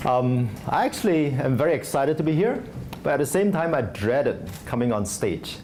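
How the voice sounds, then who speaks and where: A man's voice: mid-range voice, very animated, moderate pace, a little mellow, slight background noise. A man from Singapore, an investor giving a conversational conference talk full of fillers and restarts. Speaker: venture investor speaking at a startup conference. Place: conference stage.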